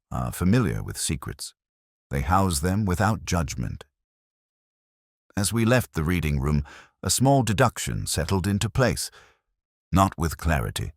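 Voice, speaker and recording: A man narrating a scripted first-person detective story in a solemn, measured, literary manner. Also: a bass voice, breathy edge, no room sound, clean studio sound. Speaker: audio drama narrator.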